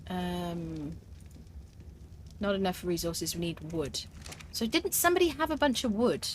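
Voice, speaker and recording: A woman's voice: fairly low pitch, animated, measured pace, slight background noise. A woman streaming a survival game, chatting as she plays, thinking aloud with fillers and answering her viewers' comments. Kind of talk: live gameplay commentary.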